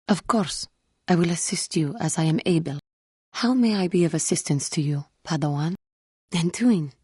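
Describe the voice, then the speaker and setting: A woman voicing an earnest, troubled young female Jedi warrior in a video game, speaking formally and with measured care in a husky voice. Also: lowish voice, darkish tone, no echo, studio quality, lightly acted. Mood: vulnerable, hesitant. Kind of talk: scripted game character dialogue.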